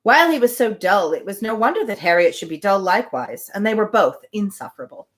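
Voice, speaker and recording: A woman's voice: mid-range voice, very animated, quick pace. A woman hosting a live reading of a novel, reading aloud with chatty, wry asides. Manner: chatty, wry commentary between passages.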